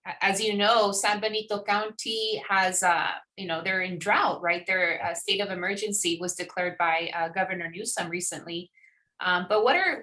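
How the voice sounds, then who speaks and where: A woman's voice: mid-range voice, sharp tone, low-quality recording. A woman speaking casually and politely as she puts questions to a school reader.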